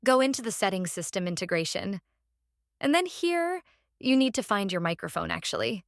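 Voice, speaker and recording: A woman who speaks English as a second language hosts a step-by-step software tutorial in a halting, repetitive instructional way. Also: medium pitch, big pitch swings, no echo, studio quality. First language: non-native English speaker. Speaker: tutorial host.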